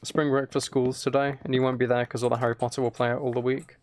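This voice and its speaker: A very tired man chatting casually and quietly on a game livestream, rambling and slightly mumbled in a soft ASMR manner. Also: medium pitch, flat delivery, quick pace, a little hiss or hum. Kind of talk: casual gaming stream chat, ASMR.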